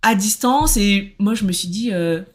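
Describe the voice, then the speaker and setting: A French woman in her thirties, a podcast guest, telling her story in lively casual talk and acting out what others said. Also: medium pitch, a little slow. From France. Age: 30s. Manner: animated, acts out quoted dialogue, laughs often.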